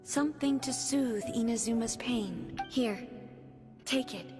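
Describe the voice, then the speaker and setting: A woman playing a gentle, serene female deity in a video game, speaking softly and in a measured, wistful way. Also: fairly high pitch. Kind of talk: English game voice acting. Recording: music playing behind the voice.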